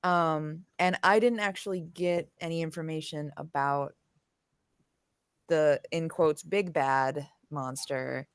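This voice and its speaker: A woman playing in a tabletop role-playing game over a voice call, deliberate and explaining. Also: low-pitched, no room sound, clean studio sound. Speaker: player. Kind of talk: casual tabletop game chat.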